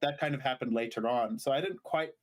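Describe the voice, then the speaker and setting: A man from Zimbabwe co-hosting a podcast, chatting casually and telling stories in a halting, rambling way. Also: mid-range voice. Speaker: podcast co-host. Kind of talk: casual chat and storytelling.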